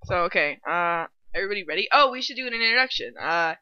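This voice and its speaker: A woman's voice: fairly low pitch, animated, fast talker, highs cut off, a little hiss or hum. A young woman co-hosting a fan fiction read-aloud, chatting and reading casually with informal, wry asides.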